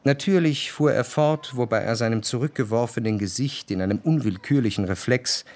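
A man narrating a literary radio drama in the first person, in a measured, reflective manner. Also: medium pitch, a little mellow.

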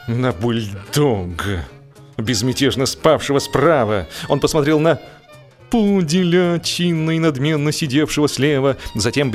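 A man narrating a humorous story in a theatrical, mock-solemn, ironic manner. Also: mid-range voice. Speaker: audiobook narrator. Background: music.

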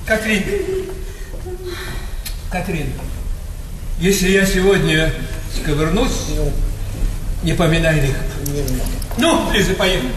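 Huffy old nobleman, really high voice, theatre comedy, echoey hall from far off. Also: animated, slow pace, long pauses. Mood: brisk, grumbling. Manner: declamatory, indignant rhetorical questioning. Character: exasperated old Russian aristocrat, a blustering grandfather. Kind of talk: theatrical stage comedy acting. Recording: echoey theatre hall, distant mics, noisy.

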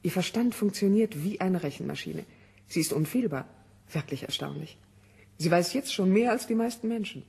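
An actor playing an admiring scientist in a radio drama, husky and eager to explain. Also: male voice, high voice, animated, moderate pace, a little mellow, full character voice. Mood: amazed. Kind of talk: 1970 German radio drama acting.

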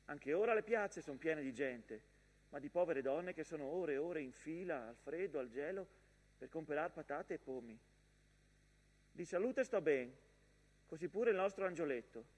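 A man's voice: on the high side, lively intonation, normal speed, a little mellow, slightly rough. An actor playing a tender young wife and mother reading out her wartime letters on stage. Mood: tender, wistful. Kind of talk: theatrical letter monologue. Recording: long reverberant theatre hall.

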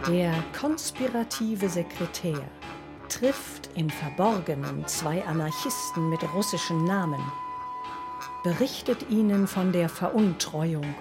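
A woman reading scripted radio feature narration in a measured, terse manner. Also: fairly low pitch, animated. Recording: music under the voice. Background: music.

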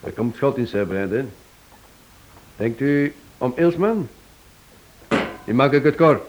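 An actor playing a brisk, affable detective who bluffs easily, in a Dutch radio play. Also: male voice, medium pitch, animated, a little hiss or hum, rough recording.

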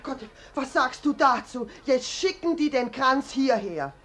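An actress playing an anxious, fretful bourgeois housewife in a German radio play. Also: female voice, high voice, lively intonation, a little slow, a bit bright. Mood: flustered, upset. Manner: fretful, complaining.

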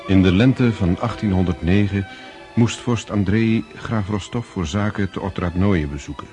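An actor as the narrator of a radio drama, reading in a measured, formal way. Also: male voice, deep voice, a little mellow, soft background music, close to an everyday voice. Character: measured storyteller narrating history.

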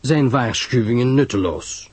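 Man acting a husky, measured, knowing detective. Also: mid-range voice, darkish tone, dry recording. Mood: grave, patient. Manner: measured, grave, didactic. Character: calm, sententious male detective, measured and knowing.

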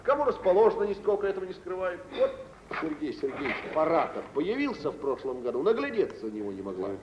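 Lively, high-voiced young merchant, old radio. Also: male voice, fast talker. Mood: confiding. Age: young adult. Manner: expressive, teasing storytelling. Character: genteel young merchant, shrewd, gossipy and amused. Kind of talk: 1940s Russian radio theatre acting. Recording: dull, echoey, hissy old radio recording.

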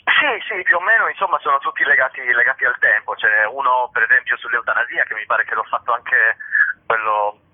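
Husky male actor, self-deprecating, chuckles, tinny phone-like audio. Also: medium pitch, a little fast. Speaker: actor and author guest. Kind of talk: radio interview.

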